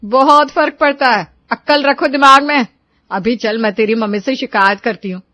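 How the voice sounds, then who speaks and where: Woman playing high-pitched meddling busybody auntie. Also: moderate pace, pushed and bright, no room sound, studio quality. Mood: nosy, scolding. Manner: taunting, busybody scolding. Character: meddling, judgmental middle-aged neighbourhood aunt. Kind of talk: Hindi animated moral story dialogue.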